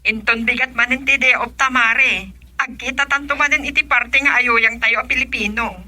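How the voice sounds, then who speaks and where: An actress playing an assertive wife who works abroad in a radio drama, speaking with an Ilocano accent. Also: female voice, on the high side, fairly even intonation, moderate pace, pushed and bright. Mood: chummy, loud. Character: assertive wife working abroad, eager to spend.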